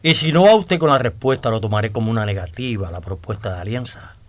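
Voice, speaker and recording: An actor playing a self-assured, scheming blackmailer in a radio drama, speaking in a deliberate, insinuating, theatrical way. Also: male voice, lowish voice, lively intonation, no room sound, natural voice. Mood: coolly threatening. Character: scheming, self-assured male blackmailer proposing a treasure alliance.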